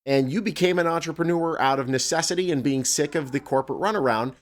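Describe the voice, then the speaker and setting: A man co-hosting a TV talk show, casual and chatty, quick to react with "wow". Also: fairly high pitch.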